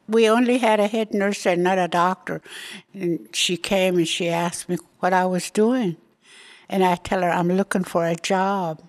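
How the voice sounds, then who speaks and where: Slow-spoken elderly woman in her eighties. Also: lowish voice. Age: 80s. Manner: slow, halting storytelling with pauses. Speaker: elder interviewee. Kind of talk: personal life story.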